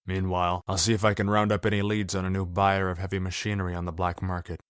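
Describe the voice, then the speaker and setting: A man's voice: sits low, level tone, fast, slight background noise, lightly acted. A man playing a grim, commanding masked vigilante in an audio drama, terse and businesslike. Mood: grim focus.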